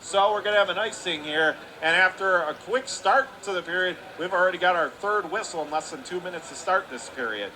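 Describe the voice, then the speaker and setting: Play-by-play man, high and bright, rapid calls that jump to shouts, faint arena hiss behind. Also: rough recording. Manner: rapid, rising to excited shouts on close plays. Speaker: radio play-by-play announcer. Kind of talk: live hockey play-by-play.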